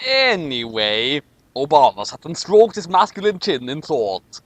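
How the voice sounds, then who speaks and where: A man's voice: on the high side, very animated, unhurried, dry recording. A man giving a comedic, theatrical story reading with commentary, often stumbling over his words. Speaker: host reading a story aloud.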